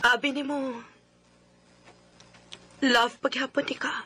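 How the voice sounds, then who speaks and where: A woman's voice: high-pitched, little pitch movement, slow. An actress playing a regretful, plaintive woman longing for an old love in a Filipino Cebuano radio drama. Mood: yearning. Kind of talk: sentimental radio drama acting. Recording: radio drama with music bed.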